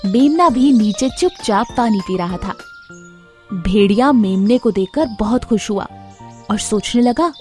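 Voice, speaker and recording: A woman storyteller reading scripted children's moral tales in an expressive way. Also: a little high, measured pace, soft background music.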